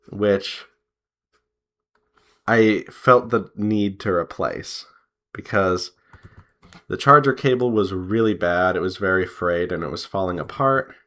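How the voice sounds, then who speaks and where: A man chatting casually as he draws, rambling in an unhurried way and pausing mid-sentence. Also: fairly low pitch, a bit bright. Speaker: artist and devlog host. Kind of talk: casual chat while drawing.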